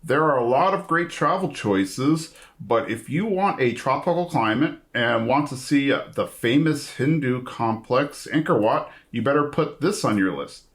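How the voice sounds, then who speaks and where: A man hosting a trivia game, reading questions out in a measured way and confirming answers. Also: medium pitch, lively intonation. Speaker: quizmaster.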